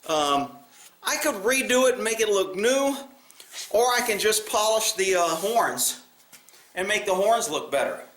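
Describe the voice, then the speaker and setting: A man's voice: high voice, animated, unhurried, fairly bright tone. An American man chatting casually as a vlog host, rambling in a blunt, folksy way with mild cursing. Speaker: video channel host. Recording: roomy, echoey sound.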